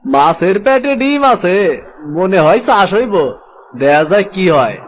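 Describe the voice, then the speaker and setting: A man as a high-voiced, earnest village boy. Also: very animated, measured pace, slight background noise. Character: dutiful young fisherman's son. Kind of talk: cartoon voice acting.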